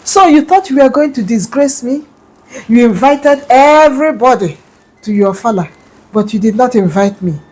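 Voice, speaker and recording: A woman's voice: on the high side, lively intonation, normal speed, darkish tone. A woman storyteller voicing a rival king who jeers at the host who snubbed him. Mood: gloating. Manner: mocking, taunting. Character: gloating rival king, a man with a fan.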